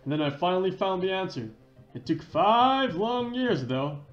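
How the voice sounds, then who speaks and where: A cocky, devoted special investigations chief, as acted by a man reading a video game. He swaggers along in a highish, very animated voice. The sound is roomy and lo-fi. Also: normal speed, soft background music. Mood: determined, confident. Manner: swaggering, says "baby" as a tag. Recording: dull lo-fi roomy mic.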